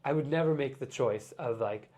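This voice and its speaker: A man's voice: mid-range voice, normal speed, a little mellow. Brief: male guest, casual chat, thoughtful, loose and filler-heavy delivery. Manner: reflective, explains with many "like" fillers. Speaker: podcast guest, artist. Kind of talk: casual conversation.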